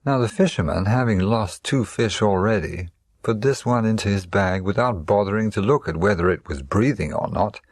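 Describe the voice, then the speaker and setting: A man's voice: fairly low pitch, moderate pace, no echo, studio quality. A man narrating a scripted fable as a storyteller.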